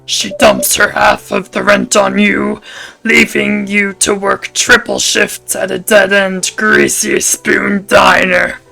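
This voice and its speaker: A woman voicing a gruff, cranky male landlord in a visual novel, speaking in a stern, gravelly, put-on low voice. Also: little pitch movement, bright, forceful tone, faint music behind, exaggerated voice, poor audio. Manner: slow, stern, scolding.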